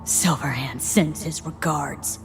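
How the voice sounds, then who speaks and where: Casting: hard-edged female merc for a game, low register, blunt and defiant, clear music underneath. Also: slow, rough recording. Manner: blunt, clipped, sweary slang. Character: tough, defiant, distrustful female street mercenary. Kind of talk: dramatic video game dialogue. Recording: music behind the voice.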